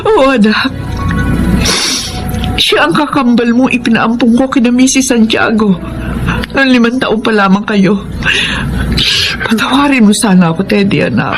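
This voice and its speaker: A woman's voice: a little high, unhurried, long pauses, music in the background, close to an everyday voice, poor audio. An actress with a Filipino accent playing a loving middle-aged mother in a melodramatic radio drama. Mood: tearful. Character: loving, emotional mother of grown twin sons.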